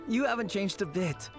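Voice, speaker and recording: A man playing an earnest, gentle young man in a video game. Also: high-pitched, full character voice. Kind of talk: animated video game voice acting. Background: game music.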